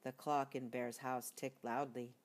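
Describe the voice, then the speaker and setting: An older woman reading a children's storybook aloud expressively, as a grandmother would, with deliberate emphasis. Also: extremely low pitch, little pitch movement.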